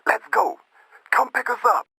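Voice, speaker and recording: An actor playing a jumpy, loudmouthed male gang henchman in a video game, brash and excitable. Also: unhurried, no echo. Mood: cocky. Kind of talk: dramatic video game cutscene acting.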